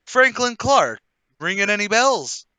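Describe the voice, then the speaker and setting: Man playing a bright-voiced chummy old acquaintance. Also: sits high, big pitch swings, a little slow, smooth, no echo, heavily acted. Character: smooth, chummy male old acquaintance, probing and falsely friendly. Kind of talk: radio play table read.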